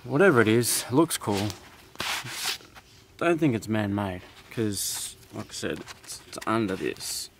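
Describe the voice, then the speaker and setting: A man's voice: on the low side, animated, a little slow, vocal fry. A young Australian man chatting casually to viewers as a camping vlogger, recorded outdoors at a forest campsite. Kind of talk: casual outdoor vlog chat. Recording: noisy outdoor camera audio with clicks.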